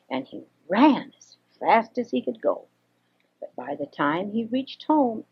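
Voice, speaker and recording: An older woman reads a children's story expressively, with a husky voice, on a homemade cassette. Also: mid-range voice. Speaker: storyteller reading for children and grandchildren. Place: home recording. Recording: dull homemade 1980s cassette recording.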